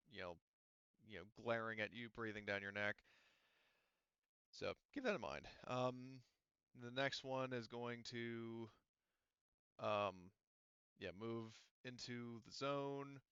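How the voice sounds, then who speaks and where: A man acting as game master for a tabletop roleplaying game, talking casually and haltingly as he works through rules and narrates combat. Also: mid-range voice, fairly bright tone, smooth, no room sound, muffled recording. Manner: casual, halting delivery while working out rules.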